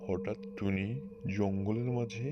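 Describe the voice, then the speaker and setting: A man's voice: on the low side, little pitch movement, unhurried, lo-fi sound, background noise. A man narrating a scripted children's story.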